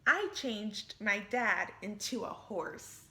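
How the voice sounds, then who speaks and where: A woman reading a picture book aloud as an imaginative little girl who thinks she's a fairy, with lively, playful emphasis. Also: mid-range voice, slow.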